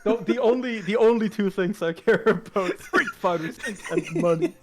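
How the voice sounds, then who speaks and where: A man's voice: sits high, a bit bright. A man doing casual live fighting-game commentary, laid-back and joking, with frequent swearing. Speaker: esports commentator.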